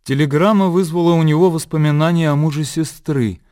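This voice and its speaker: A man reading scripted literary narration for a radio play in a measured, descriptive storytelling manner. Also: on the high side, warm tone. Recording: clear outdoor nature ambience behind.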